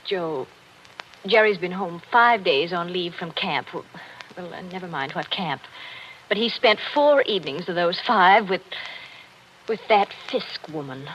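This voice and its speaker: A woman's voice: lowish voice, animated, measured pace, fairly bright tone. A woman playing a jealous married woman, brittle, arch and genteel, hiding hurt behind sarcasm in a 1940s radio drama. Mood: wounded, brittle. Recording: noisy, dull 1940s radio recording.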